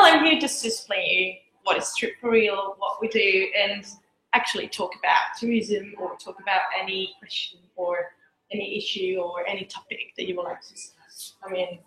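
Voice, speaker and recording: A woman's voice: medium pitch, a bit bright. Woman presenting in an echoey room. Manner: conversational, halting restarts. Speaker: presenter of a tourism startup. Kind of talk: informal presentation to a small group. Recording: echoey room with faint noise.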